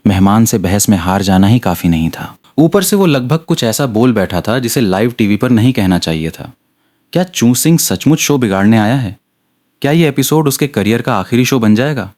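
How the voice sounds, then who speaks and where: A man's voice: mid-range voice, a little mellow. A man reading scripted dramatic narration for an audio story.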